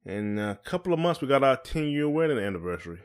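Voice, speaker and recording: A man's voice: medium pitch, very animated, fast talker, smooth. A man co-hosting a podcast in casual chat, asking questions in informal, hesitant phrasing. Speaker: podcast co-host.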